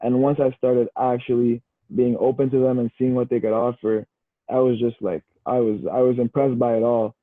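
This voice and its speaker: A man's voice: mid-range voice, normal speed, dry recording. A young Canadian man, a university football player, chatting easily as a podcast guest, often saying "bro" and "you know". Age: young adult. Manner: relaxed, easygoing, says "bro" and "you know" a lot. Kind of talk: casual podcast chat. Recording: tinny phone-like line, dry.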